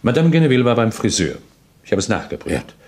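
A man's voice: on the low side, sing-song, fast talker, a little mellow. A man acting a keen, persistent junior detective in a crime radio play, methodically and insistently laying out his reasoning.

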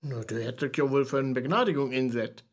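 An actor playing a bluff, incredulous sidekick in radio play banter, colloquial and with a Low German accent. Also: male voice, fairly quick, lightly acted.

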